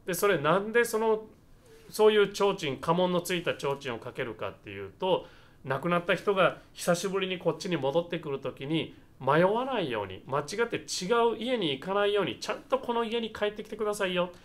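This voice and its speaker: Male Buddhist minister from Japan, explanatory and conversational, slightly high voice with very animated pitch movement. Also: fairly quick. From Nagasaki, Japan. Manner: conversational, explains step by step, often ends phrases seeking agreement. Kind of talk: explanatory Buddhist talk with photos.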